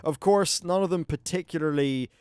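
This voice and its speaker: Male gaming host, slightly high voice, casual play-by-play, wry and free with swearing. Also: normal speed, a little mellow, smooth, no echo. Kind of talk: casual game commentary.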